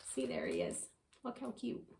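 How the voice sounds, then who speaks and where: A woman reading a children's picture book aloud in an expressive way, with dramatic emphasis. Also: rumbling low, vocal fry. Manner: animated, unhurried, dramatic emphasis. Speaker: children's story reader.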